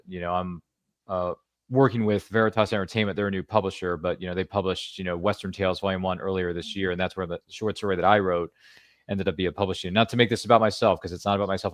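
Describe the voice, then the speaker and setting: A man with a very low, deep voice hosts a podcast interview, talking in a chatty, jokey way with playful asides. The sound is dry and close, with no room echo. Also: steady tone, fast talker. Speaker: podcast host. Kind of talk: casual interview chat.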